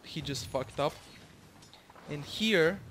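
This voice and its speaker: Man, Bulgarian accent, fairly high voice, casual game commentary, rambling, fillers, faint background noise. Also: animated, slow pace, fairly bright tone. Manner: rambling, many fillers, swears freely. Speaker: gamer commentating his own match.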